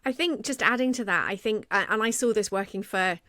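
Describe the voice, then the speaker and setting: A woman's voice: mid-range voice, fairly quick, no echo, clean studio sound. A woman co-hosting a podcast, telling a work story in a conversational way and acting out quoted lines. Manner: animated, acts out quoted speech. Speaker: podcast co-host.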